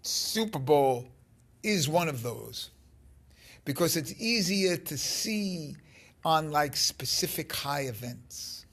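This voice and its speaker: Slow, gravelly man in his fifties. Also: on the high side, lively intonation, a bit bright. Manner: halting, rambling, emphatic stresses. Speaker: spiritual teacher. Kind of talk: informal vlog talk on spirituality. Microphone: phone held close at arm's length.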